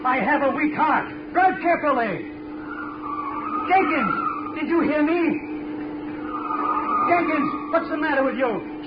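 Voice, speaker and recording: A man's voice: extremely high pitch, full character voice. An actor playing a stubborn, imperious older rich man who sputters indignantly, in a 1930s radio drama. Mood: alarmed. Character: stubborn, blustering older wealthy father with a weak heart. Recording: noisy, muffled 1930s radio recording.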